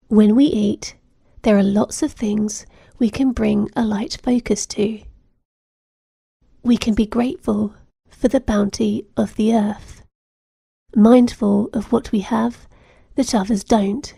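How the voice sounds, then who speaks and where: A woman leads a guided meditation, gently and soothingly. Her voice is breathy with a touch of fry at times, and she sounds close and dry, with no room around her. The instructions are calm and measured. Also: fairly high pitch, dry recording.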